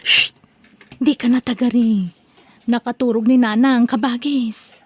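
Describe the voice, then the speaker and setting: A woman's voice: a little high, fairly even intonation, unhurried, slightly rough, soft background music. A woman with an Ilocano accent playing a young adult daughter who questions her parents in a comedy audio drama. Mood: hushed, explaining.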